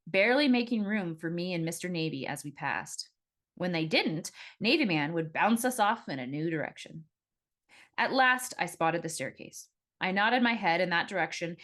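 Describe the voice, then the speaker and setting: A woman reading her own novel aloud as scripted first-person audiobook narration, in an expressive storytelling manner. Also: lowish voice, moderate pace.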